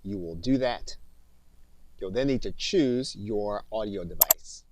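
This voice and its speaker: A man narrating step-by-step software instructions as a tutorial instructor, in a methodical way, recorded as a close-mic voice-over. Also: lowish voice, animated, measured pace, background noise.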